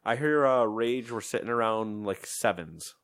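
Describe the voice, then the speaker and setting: A man's voice: on the low side, moderate pace, fairly bright tone, smooth, dry recording. A man co-hosting a gaming podcast in casual chat, rambling and joking, often trailing off into mumbles. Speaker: podcast co-host.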